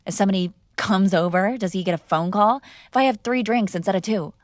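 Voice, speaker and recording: A woman's voice: mid-range voice, brisk, no room sound, lightly acted. A woman playing a mob boss's spoiled, defiant daughter in an intimate ASMR roleplay, teasing and sarcastic in a conversational way, close to the microphone. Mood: indignant, challenging. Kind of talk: ASMR girlfriend roleplay, intimate one-sided dialogue.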